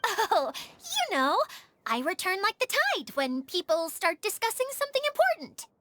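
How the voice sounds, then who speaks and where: Casting: dramatic, sensitive game maiden, high-pitched, lively swings, flowery and polite. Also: female voice, normal speed, noisy background, exaggerated voice. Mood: poised, critical. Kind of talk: video game voice acting. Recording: music behind the voice.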